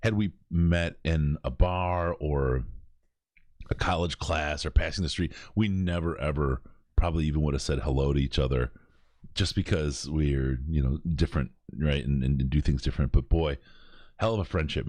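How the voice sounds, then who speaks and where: A man's voice: rumbling low, a little mellow, no echo, clean studio sound. A middle-aged man from Michigan with a Michigan accent, hosting a podcast in casual, rambling chat with lots of restarts. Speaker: podcast host. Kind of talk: casual charity stream chat.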